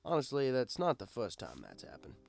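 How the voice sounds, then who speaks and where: A man's voice: medium pitch, wildly swooping pitch, brisk, creaky, no room sound. A man reading a first-person story aloud in an easygoing, conversational manner, as a bartender telling his tale. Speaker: audiobook reader. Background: music.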